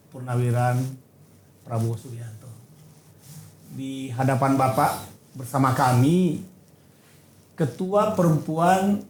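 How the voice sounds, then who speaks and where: A man's voice: mid-range voice, darkish tone, roomy sound. A man hosting a talk show interview, teasing his guests and then making a formal appeal.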